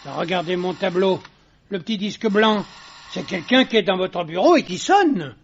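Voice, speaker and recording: A man's voice: sits high, expressive, moderate pace, vocal fry, breathy edge, full character voice. A man voicing a flustered, apologetic male building porter in a radio drama. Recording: dull recording with faint noise.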